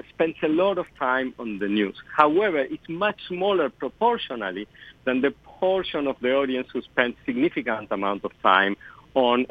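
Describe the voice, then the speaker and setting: A man's voice: mid-range voice, dry recording. A middle-aged man with an Argentine Spanish accent, a native Spanish speaker, giving thoughtful interview answers full of fillers and self-corrections. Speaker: guest professor. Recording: tinny phone-like line, dry.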